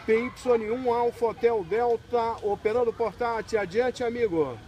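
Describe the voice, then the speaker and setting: A man's voice: extremely high pitch, steady tone, measured pace, dull audio. A man, operating amateur radio in a courteous way and calling out spelled callsigns. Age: 60s. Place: outdoors in a park by a pool area. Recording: noisy outdoor recording with radio hiss.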